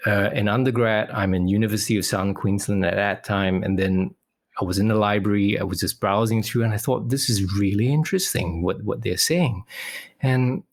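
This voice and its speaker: A middle-aged man from Singapore, a psychologist guest, talking quickly and haltingly with many fillers. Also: fairly low pitch, no room sound, studio quality. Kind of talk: casual interview talk.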